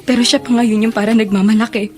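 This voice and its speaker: A woman's voice: fairly high pitch, level tone, fast, close to an everyday voice. An actress playing a heartbroken, anxious young female student, pleading in a Filipino radio melodrama. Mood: plaintive. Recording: music bed under the voice.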